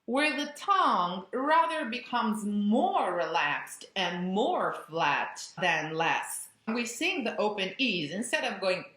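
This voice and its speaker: A woman's voice: mid-range voice, slow, hardly any pauses. A woman, an opera coach explaining vocal technique with vivid imagery. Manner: expressive, imagery-rich teaching. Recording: roomy echo.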